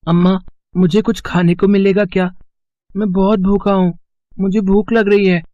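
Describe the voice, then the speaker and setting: A man's voice: sits high, level tone, moderate pace, mellow, dry recording, highs cut off, natural voice. A man voicing a polite, pitiful little orphan boy in a cartoon moral story, earnest and pleading. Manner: plaintive, earnest begging.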